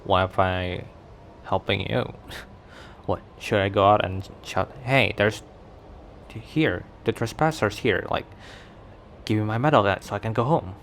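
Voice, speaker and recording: Man acting as cocky male werewolf, ASMR roleplay, very slow pace, teasing and flirty, noisy ambient background. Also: lowish voice, gravelly, a bit bright. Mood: teasing, sarcastic. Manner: teasing, chuckling between phrases.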